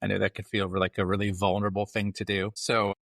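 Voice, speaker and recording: Low-voiced man hosting a podcast. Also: monotone, creaky, fairly bright tone, no echo, clean studio sound. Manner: earnest, measured questioning. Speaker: podcast host. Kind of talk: podcast interview.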